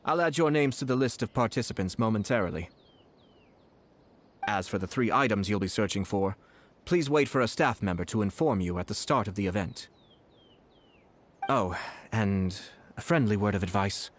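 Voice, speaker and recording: A man's voice: on the low side, animated, moderate pace, pauses often. An actor playing a courteous, composed male shrine event official in an anime game dub, speaking in a polite, formal way.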